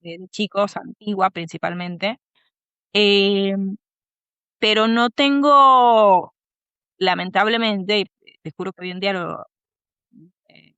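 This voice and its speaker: Dominican woman being interviewed, bit of fry, dry dull mic, thinks as she talks. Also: mid-range voice, a bit bright, dry recording. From Dominican Republic. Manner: thoughtful, pauses to search for words. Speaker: guest. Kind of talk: conversational interview.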